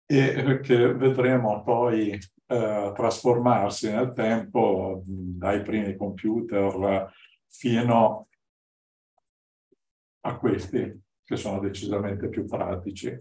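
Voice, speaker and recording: A man's lowish voice comes from some way off in an echoey classroom. He is in his fifties and lectures informally over slides. His phrasing is halting and hesitant. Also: steady tone, mellow. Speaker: guest lecturer, artist-researcher. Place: classroom, standing. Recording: echoey room, distant from mic.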